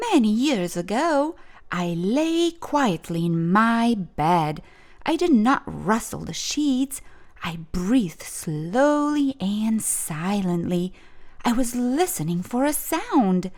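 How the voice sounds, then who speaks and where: Female storyteller for children's read-aloud. Expressive and deliberate, taking her time, with lively swings in pitch. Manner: deliberate, dramatic phrasing for children. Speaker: children's storybook reader, host.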